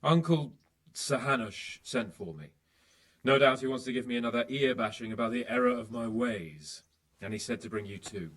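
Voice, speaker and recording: Carefree young noble, mid-pitched voice, wry and self-mocking. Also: male voice, very animated, normal speed, gravelly, fairly bright tone. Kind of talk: video game cutscene acting.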